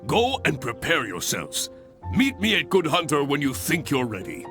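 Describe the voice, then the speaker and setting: Casting: male enthusiastic game exam master, higher-pitched, swooping theatrical delivery, music underneath. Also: huge pitch swings, normal speed. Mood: instructive. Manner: grandiose, theatrical, instructive. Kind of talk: video game character acting.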